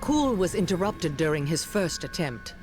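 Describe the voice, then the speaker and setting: An actor playing a zealous, fiercely dedicated demon-hunting parent in a video game, solemn and emphatic. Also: male voice, on the high side, very animated, moderate pace, music in the background. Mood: fierce, defiant.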